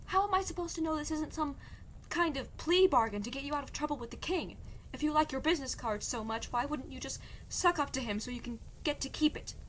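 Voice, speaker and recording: Young princess for audio drama woman performer: higher-pitched, blunt, skeptical and exasperated, faint ambience behind. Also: fairly quick, a bit bright, a little hiss or hum. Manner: blunt, dry, doubtful. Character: skeptical, exasperated young princess fleeing arranged marriage, guarded. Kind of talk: scripted audio drama acting.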